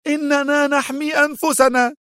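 A man voicing a stern, nervy woman security adviser, with a sharp, commanding manner, in a dramatised audiobook. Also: very high-pitched, flat delivery, normal speed, dry recording, studio quality, voice pushed up. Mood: very tense.

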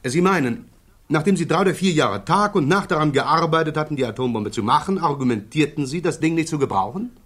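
A man playing a sharp, sceptical prosecutor who interrogates a witness with probing, sardonic insistence in a 1960s German radio play. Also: on the high side, animated, brisk. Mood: pressing, sceptical.

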